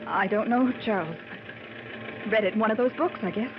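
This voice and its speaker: A woman's voice: mid-range voice, moderate pace, noisy background, close to an everyday voice. An actress playing a troubled, anxious wife, voicing her dread in tense, dramatic vintage radio style. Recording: muffled vintage radio broadcast with music.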